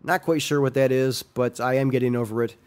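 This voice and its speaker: A man's voice: mid-range voice, fairly even intonation, a little fast, darkish tone. A young adult man, slightly nasal and congested from a sinus infection, hosting a casual solo podcast and rambling with many ums and restarts. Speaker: podcast host.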